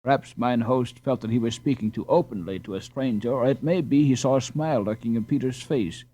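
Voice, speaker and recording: A man narrating a storybook as an expressive audiobook reader. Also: mid-range voice, brisk, husky.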